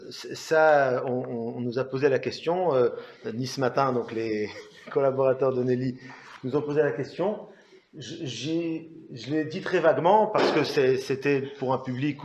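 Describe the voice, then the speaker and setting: French-born guy, rabbi giving an informal talk, stumbles and repeats words, mid pitch, echoey room. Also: measured pace. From France. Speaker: rabbi, co-author speaking at book talk. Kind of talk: informal spoken anecdote to an audience. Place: indoor talk venue. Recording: echoey room, dull sound.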